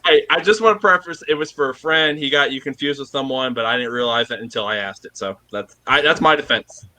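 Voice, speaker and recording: A man's voice: medium pitch, a little fast, bright, forceful tone, rough recording. A man calling in as a fan, asking questions politely with many ums. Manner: polite, lots of ums, nervous. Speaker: fan caller. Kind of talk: casual video call question.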